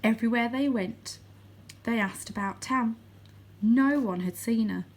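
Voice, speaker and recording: A woman narrating a children's book aloud as a storyteller, with expressive delivery. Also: on the high side, measured pace. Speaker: storybook reader.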